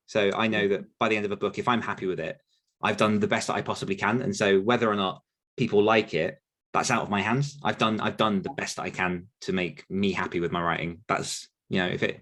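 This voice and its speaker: A man's voice: fairly low pitch, fairly even intonation, fast, fairly bright tone. A man in his thirties talking casually about his writing career, rambling a little with restarts and the odd laugh. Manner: rambling with frequent restarts and self-deprecating laughs. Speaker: podcast host and author answering listener questions. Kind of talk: casual conversational chat.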